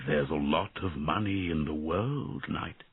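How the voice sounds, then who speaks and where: Storybook dragon played by a man: gentle, melancholy, low and slow, husky, narrow phone-like sound. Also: lively intonation, no echo, exaggerated voice. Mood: sad. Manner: quiet, measured, melancholy. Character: gentle, sad, thoughtful old-code male dragon.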